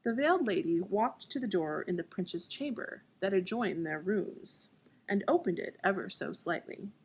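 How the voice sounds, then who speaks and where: A woman's voice: medium pitch, lively intonation, normal speed, a little hiss or hum, rough recording. A woman narrating as an author and storyteller. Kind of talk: scripted audiobook narration.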